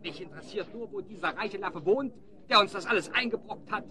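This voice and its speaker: A man's voice: high-pitched, fairly quick, a crowd in the background, lightly acted. A man playing an indignant rogue henchman in an audio drama, with a blustering, theatrical manner. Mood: vengeful.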